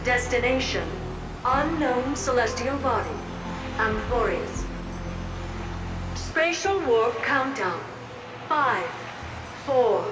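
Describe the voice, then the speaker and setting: An actress playing a girlish, dutiful assistant who announces procedures in a video game, in a drawn-out procedural manner. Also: fairly high pitch. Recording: echoey, distant, loud music underneath.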